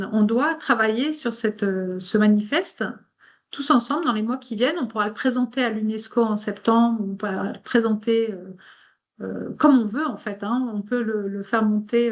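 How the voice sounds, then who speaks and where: A woman whose first language is German, speaking French in an online meeting. She gives an informal presentation, partly reads a text aloud, and pauses often with fillers and restarts. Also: medium pitch, highs cut off. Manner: hesitant, many fillers and restarts.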